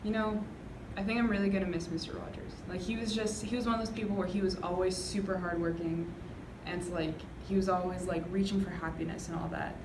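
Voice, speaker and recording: Fast-talking young woman, low even voice. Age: young adult. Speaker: university student. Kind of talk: casual personal reminiscence. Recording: echoey room with clear background noise.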